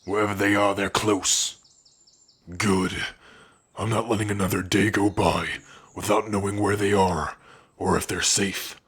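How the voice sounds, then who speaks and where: A man's voice: lowish voice, flat delivery, a little slow, a bit bright, breathy edge. A man voicing a grim, protective orc warrior in an ASMR fantasy roleplay, grave, determined and terse. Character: grim, protective male orc warrior hunting for a lost love.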